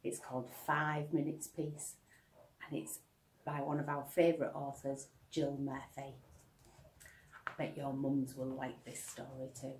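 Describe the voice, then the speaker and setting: A woman's voice: a bass voice, level tone, a little slow, a little mellow, slight background noise. A woman narrating a children's storybook like a teacher reading aloud. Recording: echoey room, slightly distant microphone.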